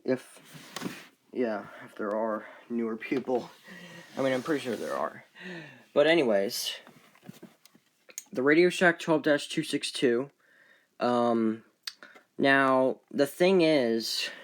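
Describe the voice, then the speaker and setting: A man presenting his collection in a casual, unscripted video, speaking haltingly and often trailing off. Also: mid-range voice, slight background noise. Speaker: collector presenting weather radios. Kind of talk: casual unscripted show-and-tell.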